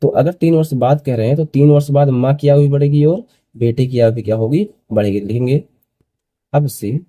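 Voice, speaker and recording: Male maths tutor, early 20s, very mellow dark voice, dry recording, repetitive question-and-answer explaining. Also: medium pitch, a little fast, no room sound. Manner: repeats phrases, asks and answers own questions. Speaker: maths teacher. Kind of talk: step-by-step school maths lesson.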